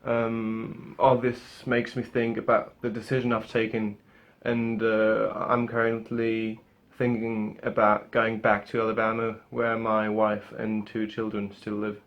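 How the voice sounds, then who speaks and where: A man's voice: on the low side, monotone, a little slow, dull audio. A young man playing a frustrated male drug enforcement agent, talking hesitantly with many fillers. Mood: weary.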